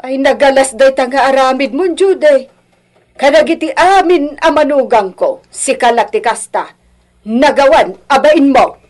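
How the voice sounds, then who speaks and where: A woman's voice: high-pitched, a little slow, darkish tone. A woman acting an older, meddling mother-in-law who scolds and complains in a radio drama. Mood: indignant scolding. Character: meddling elderly mother-in-law, reproachful and self-righteous.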